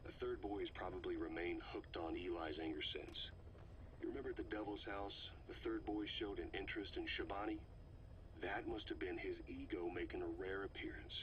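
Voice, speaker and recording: An actor playing a grave intelligence officer, giving a measured, explanatory briefing in a video game, heard through a cassette tape filter. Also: male voice, low-pitched. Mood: grave, deductive. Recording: muffled, noisy cassette tape recording.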